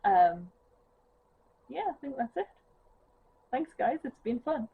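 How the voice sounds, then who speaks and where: A woman's voice: on the high side, lively intonation, slow pace, gravelly. Chatty Geordie woman, tinny sound. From northeast England. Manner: chatty, rambling with many ums. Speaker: craft vlogger. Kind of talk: casual chat to camera. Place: home living room, sitting on a sofa. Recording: tinny phone-like sound with faint hiss.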